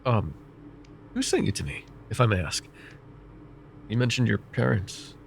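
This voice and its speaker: A man playing a gravelly, vain mage counselor. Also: on the low side, slight background noise. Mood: calm reassuring. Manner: calm, measured, gently teasing. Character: vain, wry male mage counselor, middle-aged. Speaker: voice actor. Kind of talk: ASMR roleplay.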